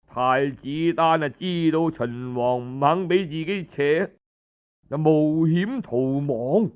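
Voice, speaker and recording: Male Cantonese storyteller for historical audiobook; high voice, unhurried delivery, conversational oral style. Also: highs cut off. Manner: conversational oral telling with frequent sentence-ending particles. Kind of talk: traditional Cantonese storytelling narration of historical tales.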